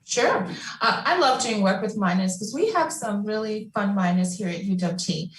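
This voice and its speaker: A woman's voice: medium pitch, lively intonation, moderate pace, a little hiss or hum. A woman advisor answering a student question on a panel in an upbeat, friendly, explanatory way. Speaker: academic advisor. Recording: echoey room, distant mic.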